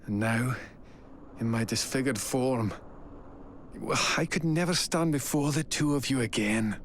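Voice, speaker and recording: An actor playing a remorseful, disfigured scientist husband and father in a video game drama, earnest, halting and melodramatic. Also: medium pitch, a little hiss or hum, natural voice. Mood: ashamed, sorrowful. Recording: loud music behind the voice. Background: loud background music.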